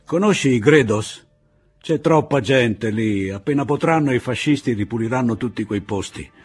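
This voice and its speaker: A man voicing a gruff older deaf guerrilla chief who talks loudly and bluntly, in an audiobook. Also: medium pitch, lively intonation, normal speed, pitched above the performer's own voice. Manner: loud, blunt, near-shouting.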